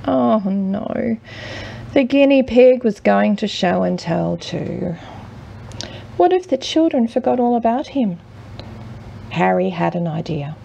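A woman with a heavily creaky voice reads a children's storybook slowly and expressively. She leaves dramatic pauses and speaks at a middling pitch. There is faint noise in the home recording. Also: animated, muffled recording. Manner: measured, with dramatic pauses and hushed drawn-out words. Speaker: mother reading a picture book aloud.

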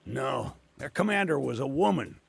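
An actor playing a weary, earnest old town mayor in a video game, with a raspy, creaking voice. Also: male voice, mid-range voice, big pitch swings, moderate pace, faint music behind, full character voice. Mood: shaken. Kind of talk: animated video game cutscene acting.

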